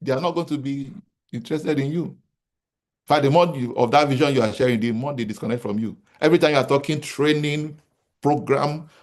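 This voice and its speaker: A man's voice: mid-range voice, brisk, a little mellow. A Nigerian man in his forties, a company founder giving a motivational business talk to an audience, who repeats his key points and asks rhetorical questions. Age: 40s. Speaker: real estate company founder speaking to an audience.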